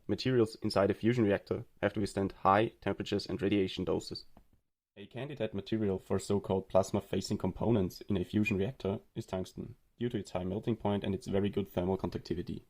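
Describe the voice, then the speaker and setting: A young man from Austria giving a read-aloud presentation of a student research project in a steady explanatory way. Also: sits low.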